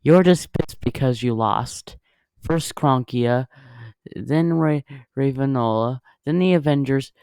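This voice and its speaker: A man's voice: medium pitch, takes it slowly, darkish tone, smooth, dry recording. A man acting in an amateur dramatic reading as a defiant, protective woman who confronts a villain. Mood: defiant, accusing.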